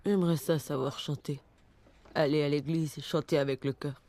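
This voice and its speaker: A woman's voice: sits low, fairly even intonation, moderate pace, mellow. A woman dubbing an earnest, wistful student in a French film dub. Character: wistful woman student who longs to sing.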